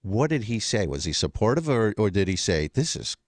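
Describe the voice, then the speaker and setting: Halting radio host, lively man. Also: fairly low pitch, no room sound, studio quality. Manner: halting, many restarts and fillers. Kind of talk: radio interview questions.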